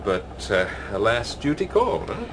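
An actor with a British accent playing a genial, wry married novelist in a radio drama, chatty and a little hesitant. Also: male voice, mid-range voice, huge pitch swings, moderate pace, noisy background. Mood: wry, curious.

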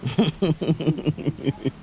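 Relaxed Vincentian man touring his garden. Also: on the high side, extremely slow, slight background noise. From Saint Vincent and the Grenadines. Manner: relaxed, chatty, laughs often, creole phrasing. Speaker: vlogger giving a garden tour. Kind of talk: casual chat. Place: outdoor backyard garden.

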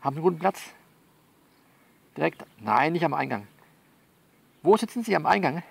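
A man playing a soothing but bossy confidant who coaches someone by phone in an audio drama, halting and repeating himself as he instructs. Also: fairly high pitch, very animated, vocal fry, slightly rough, heavily acted. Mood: insistent, instructive. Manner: halting, repetitive, instructive, reassuring. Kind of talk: one-sided phone conversation in an audio novel.

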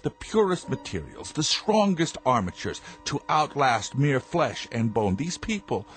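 A man's voice: mid-range voice, big pitch swings, measured pace, a bit bright. An actor playing a stern, controlling inventor father in a radio drama, speaking with formal, commanding precision. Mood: proud, controlled.

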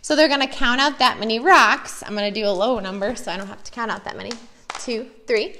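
A woman's voice: a little high, fairly quick, bright, forceful tone, a little hiss or hum. An American woman, a preschool teacher, chatting enthusiastically and casually as she shows classroom activities.

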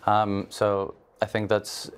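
A man's voice: on the low side, completely flat delivery, slow, clear, resonant tone. A young man from Israel, a football agent as a studio guest, explaining in an earnest way with many fillers on a TV panel. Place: large TV studio.

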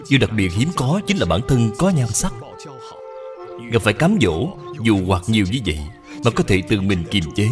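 A man narrating a moral tale as a solemn storyteller, in a measured, scripted manner. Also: fairly low pitch. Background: background music.

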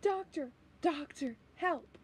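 A woman acts out a young adventurer's companion in an audio drama. She is frank and doubtful, with a very breathy, husky and mellow voice. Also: medium pitch, unhurried. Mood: panicked.

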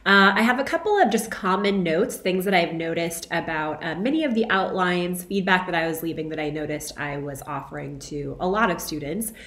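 Woman, young adult, hoarse from illness, conversational lecturer, says "right?" and "um" a lot, webcam, roomy echo. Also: fairly low pitch, animated, a little fast, few pauses, fairly bright tone. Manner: conversational, frequent "right?" and "um" fillers. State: hoarse from being sick. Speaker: instructor. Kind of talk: recorded lecture feedback to students. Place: small room at home, indoors. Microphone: webcam, video call framing.

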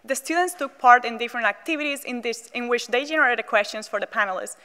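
Fast-talking teen girl presenting on stage. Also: fairly high pitch, bright, forceful tone. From Cuba. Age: teens. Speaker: student presenter. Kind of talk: reading a prepared presentation. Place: large theater stage. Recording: reverberant auditorium.

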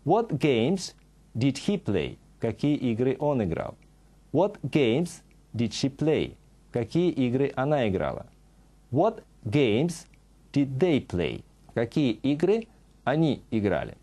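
A middle-aged man teaching on a TV studio set, reading a scripted lesson and saying example sentences slowly and carefully. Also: medium pitch, big pitch swings, dark tone, breathy edge. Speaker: English teacher.

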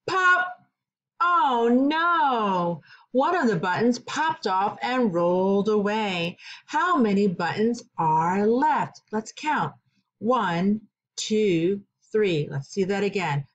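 Very slow-paced woman teaching small children. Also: medium pitch, lo-fi sound. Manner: deliberate, simple phrasing with repeated questions for young children. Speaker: kindergarten teacher. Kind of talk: children's read-aloud with counting lesson.

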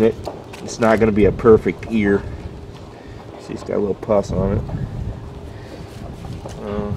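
A man's voice: medium pitch, noisy background. A man working as a taxidermist, talking casually with many fillers as he walks through an outdoor job. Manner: plainspoken, filler-heavy, thinking aloud. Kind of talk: casual on-camera walkthrough. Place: outdoors on concrete by a building.

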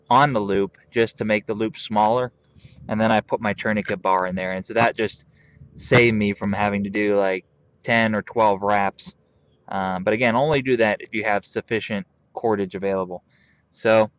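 A young man outdoors in a field, calmly walking through a hands-on tutorial step by step while he works with his hands. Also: lowish voice, dull audio. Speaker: bushcraft instructor.

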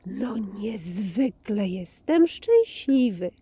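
Woman voicing a slow, kindly storybook moon gentleman. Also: medium pitch, very animated, husky, full character voice. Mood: delighted, welcoming. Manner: welcoming, courteous. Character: the moon, kindly big silver gentleman, delighted by visitor.

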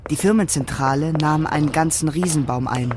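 Higher-voiced man narrating. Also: fairly quick, noisy background. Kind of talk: scripted radio drama narration. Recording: studio narration over clear music.

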